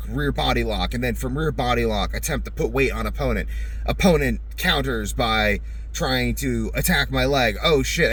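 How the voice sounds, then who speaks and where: A man's voice: medium pitch, a bit bright. A young adult man in a casual, rambling monologue, musing aloud, repeating phrases and putting questions to himself. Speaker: vlogger.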